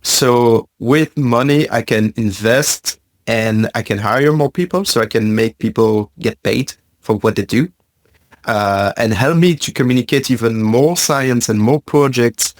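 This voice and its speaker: A man from Belgium answering interview questions in a thoughtful, halting way, with frequent fillers and repeated words. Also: medium pitch, no echo, clean studio sound. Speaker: podcast guest, science communicator.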